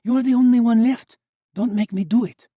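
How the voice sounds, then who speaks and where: A man's voice: very high voice, steady tone, normal speed, warm tone, dry recording, studio quality, voice pushed up. A man voicing a fierce young woman who pleads and cries out in anguish. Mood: pleading. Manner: pleading, crying out.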